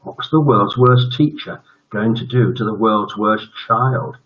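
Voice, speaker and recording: A man's voice: lowish voice, unhurried. A British man in his seventies hosting a bedtime story stream, reading tales and chatting casually. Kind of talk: storytelling and casual livestream chat. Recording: dull lo-fi livestream audio.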